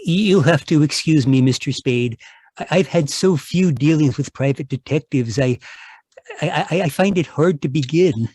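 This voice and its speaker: A man's voice: fairly high pitch, normal speed, darkish tone, breathy edge, no echo. A man playing a nervous, timid middle-aged fellow who stammers and hesitates, in 1940s radio drama style. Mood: timid, hesitant.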